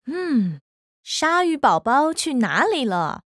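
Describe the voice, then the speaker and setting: A woman voicing a gentle, playful mother shark in a Taiwanese Mandarin children's cartoon, patient and coaxing. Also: sits high, sing-song, slow pace, no echo, full character voice. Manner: sing-song, coaxing, patient. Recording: children's music behind voice.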